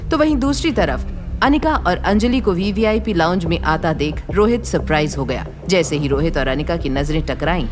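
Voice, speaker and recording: A woman's voice: on the low side, background noise. A woman narrator reading a scripted romantic drama story in a storytelling style. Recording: music bed behind narration.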